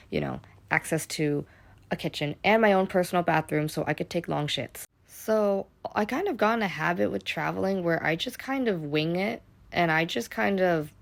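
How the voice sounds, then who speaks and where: Vlogger, young American woman, deep with vocal fry, chatty and unfiltered, swears freely. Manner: chatty, rambling, heavy use of "like", casual swearing. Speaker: travel vlogger. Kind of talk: casual travel vlog voice-over.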